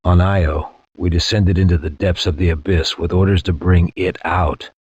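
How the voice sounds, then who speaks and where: Deep, husky war-weary soldier narrator. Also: male voice, fairly even intonation, darkish tone, no room sound. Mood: brooding. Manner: grave, measured, reflective. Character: war-weary male space soldier recounting a past campaign. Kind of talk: dramatic scripted war-memoir narration. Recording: dull, lo-fi game audio.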